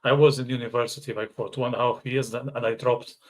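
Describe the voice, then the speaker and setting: A man with a Portuguese accent, a native Portuguese speaker, hosting a casual interview on a video call with relaxed fillers. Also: medium pitch, fast, a crowd in the background. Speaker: podcast host.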